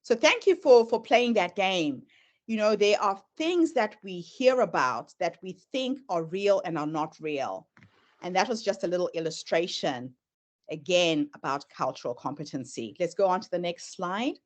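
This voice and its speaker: A woman's voice: lowish voice, lively intonation, normal speed. A middle-aged woman whose first language is Shona, speaking with a Zimbabwean accent tinged with American, giving an earnest presentation and sharing her own story as she moves through slides. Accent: Zimbabwean, mixed with American. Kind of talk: presentation talk.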